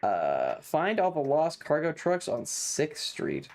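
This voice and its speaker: Sarcastic man streaming a game, animated pitch swings. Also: slow, vocal fry. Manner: sarcastic, exasperated, dismissive. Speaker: live streamer. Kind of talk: casual chatty gameplay commentary while reading game text.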